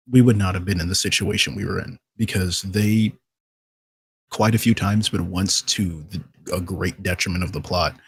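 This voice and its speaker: A man talking casually and reflectively as a podcast guest, explaining things in a measured way. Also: low-pitched, a bit bright, slightly rough, no room sound.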